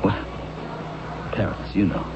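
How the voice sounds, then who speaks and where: An actor playing an anxious, insistent young jazz musician husband with an American accent, halting and slangy in a radio drama. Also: male voice, close to an everyday voice. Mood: defensive, evasive. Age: young adult. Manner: halting, slangy, repeating himself. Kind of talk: 1970s radio drama acting. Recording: noisy, muffled 1970s radio drama tape.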